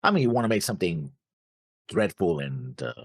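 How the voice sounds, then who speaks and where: A man's voice: deep voice, sing-song, a little fast, husky, no room sound, studio quality. A man chatting casually as a reaction show co-host, agreeing and repeating his words as he goes. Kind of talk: casual chat, discussing an anime episode.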